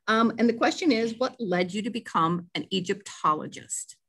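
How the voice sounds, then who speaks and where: Woman moderator, mid-pitched, polite and measured, on a video call. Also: lively intonation, slightly rough. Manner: polite, measured, with soft fillers. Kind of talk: reading audience questions.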